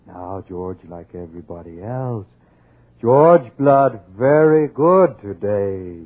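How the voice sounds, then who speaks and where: A man's voice: on the low side, animated, warm tone, rough recording. An actor playing a hulking, simple-minded male killer who talks in broken, childlike phrases, in 1940s radio acting. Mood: gloating. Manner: broken childlike speech, slow and heavy. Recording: old radio recording with music.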